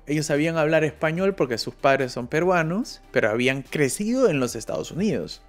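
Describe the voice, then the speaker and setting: A man from Peru talking through personal stories in a casual, reflective monologue as host of a language-learning channel. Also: fairly high pitch. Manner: reflective, storytelling with personal anecdotes. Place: living in Germany.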